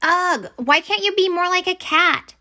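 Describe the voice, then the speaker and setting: A woman voicing an exasperated but eager young girl witch in a children's picture book, expressive and exclaiming. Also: very high-pitched, unhurried, voice pushed up, exaggerated voice. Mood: frustrated.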